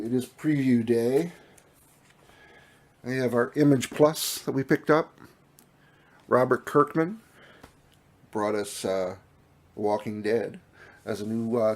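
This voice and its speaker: A man's voice: medium pitch, a little hiss or hum. A man losing his voice talks casually about his new comic books, rambling with many fillers and restarts. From Minnesota. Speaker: comic book vlogger. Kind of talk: casual show-and-tell vlog. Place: home room with collectibles.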